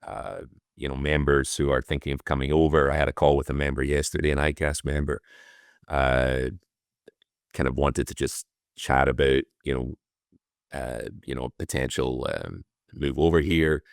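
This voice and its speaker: A man's voice: rumbling low, steady tone, moderate pace, gravelly, dry recording, studio quality. A Scottish man in his fifties, an audit partner speaking as an interview guest, thoughtful and loose, with many fillers and restarts. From Scotland. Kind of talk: casual interview talk.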